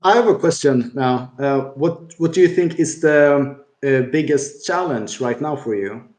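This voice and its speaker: A man's voice: mid-range voice, a little slow, darkish tone, roomy sound. A man hosting a casual online interview, asking his guest questions and reading questions from the audience. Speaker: host.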